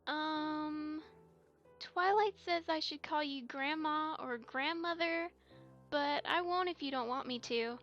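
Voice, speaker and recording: A woman's voice: takes it slowly, fairly bright tone, soft background music. A woman voicing a shy, earnest young filly in an audio drama, childlike and a little hesitant. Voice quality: childlike, high. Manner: hesitant, earnest, stammering over confessions. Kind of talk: fan audio drama acting. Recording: slightly dull, lo-fi microphone.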